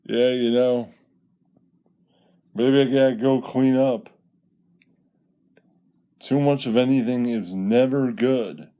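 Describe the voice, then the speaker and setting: Stoner puppet guy, performed by a man: crude, laid-back, slow drawl, dark mellow tone, mock-preachy. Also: medium pitch, fairly even intonation, clear, resonant tone, close to an everyday voice. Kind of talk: puppet show comedy monologue.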